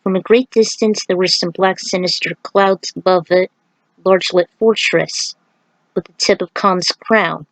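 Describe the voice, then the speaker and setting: A woman reads a story aloud at a slow pace. She slips between narration and casual remarks to her listeners. The sound is dry, with little room in it. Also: on the low side, steady tone, no echo, low-quality recording. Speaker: narrator reading own fan fiction. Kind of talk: story reading with casual asides.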